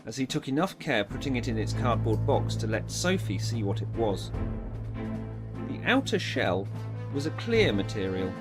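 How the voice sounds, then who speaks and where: A man's voice: mid-range voice, very animated, moderate pace, over music. A man narrating a dramatised audiobook story in a storytelling style.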